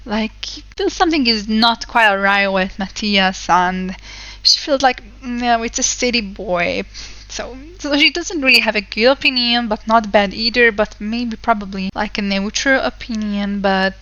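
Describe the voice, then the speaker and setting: Bright, chatty young woman gamer. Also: a little high, a little slow, lo-fi sound. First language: not English. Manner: chatty, rambling, many fillers. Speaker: let's play host. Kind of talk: casual gameplay commentary.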